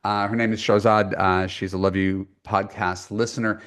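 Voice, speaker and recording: A deep-voiced man hosts a dating-coach podcast. He talks in a loose, chatty way, full of ums and false starts, and pokes fun at himself. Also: little pitch movement, normal speed, fairly bright tone. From United States. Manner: chatty, self-deprecating, many fillers and restarts. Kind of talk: conversational podcast intro and coaching.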